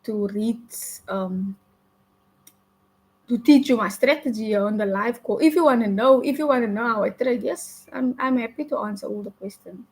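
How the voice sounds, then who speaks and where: Halting, higher-voiced Afrikaans-speaking woman. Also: darkish tone, poor audio. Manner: halting, many restarts and self-corrections. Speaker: live forex trader. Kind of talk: casual live-stream chat while trading.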